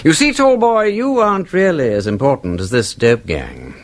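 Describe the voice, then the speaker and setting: An actor playing an urbane, composed gentleman detective with an upper-class English accent, polite and understated, in a radio drama. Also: mid-range voice, lively intonation, moderate pace, natural voice. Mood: grave, frank.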